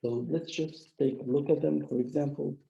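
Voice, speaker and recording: A man's voice: fairly high pitch, steady tone, normal speed, gravelly, mellow. A man with a mild flu teaching a live online coding lecture, explaining step by step with many filler sounds. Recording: dull lo-fi echoey room.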